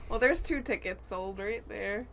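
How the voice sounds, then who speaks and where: A woman's voice: medium pitch, measured pace, sharp tone. A woman co-hosting a podcast, dropping dry, teasing remarks into casual banter. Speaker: podcast co-host.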